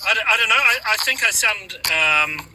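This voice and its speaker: A man from New Zealand with a Kiwi accent, chatting casually on a group call while driving, fairly monotone. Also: sits high, normal speed, a little hiss or hum, low-quality recording. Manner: laid-back, a bit monotone. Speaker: group chat member. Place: in a car, driving.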